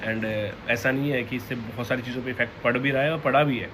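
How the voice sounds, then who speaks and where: A man addressing followers informally and unscripted, halting and repeating his phrases, in a noisy recording. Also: medium pitch, steady tone, sharp tone. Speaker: online content creator. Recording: clearly noisy ambient background.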